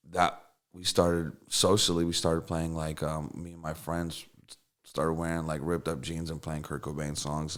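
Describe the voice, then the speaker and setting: A middle-aged man from Russia whose first language is Russian, telling stories casually in an interview with lots of fillers and restarts. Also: extremely low pitch. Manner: rambling with many fillers and restarts. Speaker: songwriter and producer guest.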